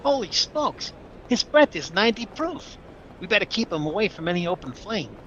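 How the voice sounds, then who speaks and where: A man's voice: high voice, big pitch swings, moderate pace, fairly bright tone, lo-fi sound, slight background noise. A man playing a cheeky, henpecked wisecracking husband in a 1940s-style radio comedy. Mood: excited.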